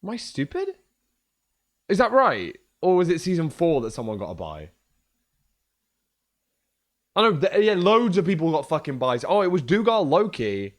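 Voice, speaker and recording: A young man with a hoarse voice, chatting casually and joking on a livestream. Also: a little high, very animated, normal speed, long pauses. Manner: joking, trash-talking, swears a lot. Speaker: streamer.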